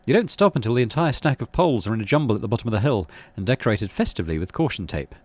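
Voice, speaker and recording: A man's voice: medium pitch, animated, rapid-fire, no room sound. A man reading out a story game as narration and adding casual commentary as he plays. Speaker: video game streamer.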